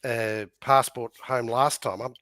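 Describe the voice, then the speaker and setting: A man's voice: mid-range voice, steady tone, measured pace, fairly bright tone, no echo. A man chatting casually as a co-host and asking questions.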